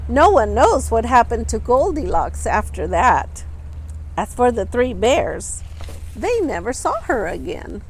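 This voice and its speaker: A woman reads a picture book aloud to children in a fairly high, very animated voice. She takes her time over the phrases and speaks expressively, as a storytime reader does. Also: unhurried, a little hiss or hum, poor audio. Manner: expressive, with simple asides to young listeners.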